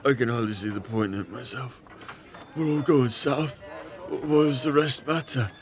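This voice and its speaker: Actor playing sick male refugee, weary, bitter, aching, mid-pitched, dark fantasy audio drama. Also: moderate pace, natural voice. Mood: bitter, complaining. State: sick, coughing.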